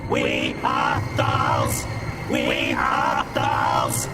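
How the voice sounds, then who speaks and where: An actor voicing a fanatical alien machine in an audio drama, chanting slowly through a robotic filter. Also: extremely low pitch, wildly swooping pitch, exaggerated voice. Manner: slow, chanting, shrieking. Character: fanatical alien Dalek insisting it is a Thal. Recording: loud music behind the voice.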